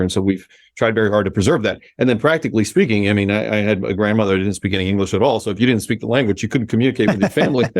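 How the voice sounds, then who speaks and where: A man in his forties from Miami, a native Spanish speaker, speaks English in a low, grounded voice. He sounds earnest and reflective and often restarts his sentences mid-thought. The recording is close and dry, with no room sound. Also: a little mellow, dry recording. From Miami, United States. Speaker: guest, sports executive. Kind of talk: conversational interview.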